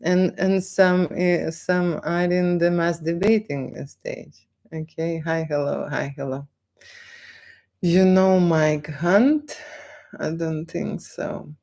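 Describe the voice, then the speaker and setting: A woman with a Lithuanian accent speaks in a lowish voice at a very unhurried pace. She hosts an unscripted live stream, circling back over phrases and pausing to check that listeners follow. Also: fairly even intonation, mellow. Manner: halting, repeats phrases, frequent "okay?" check-ins. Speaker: live stream host, photographer. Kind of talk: unscripted live stream chat, answering viewers.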